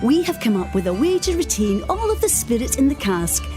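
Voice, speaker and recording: A polished presenter in an audio drama, giving a scripted promotional speech. Her female voice sits fairly high and moves with lively, swooping intonation. Music plays clearly behind her. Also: fairly quick. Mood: polished, upbeat.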